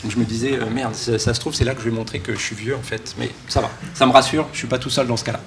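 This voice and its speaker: A man speaks informally as a conference keynote speaker, joking and halting with many false starts. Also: mid-range voice, fairly even intonation. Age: early 40s. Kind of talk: casual, joking conference talk. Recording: live talk with background room noise.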